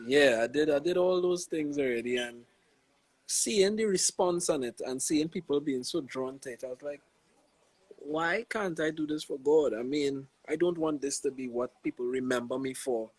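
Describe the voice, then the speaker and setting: Young Trinidadian guy, husky and dry, relaxed and reflective, slangy; gospel artist guest. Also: on the high side, normal speed, no room sound, poor audio. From Trinidad and Tobago. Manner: laid-back, reflective, slangy with many "you know"s. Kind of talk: casual interview over a live stream.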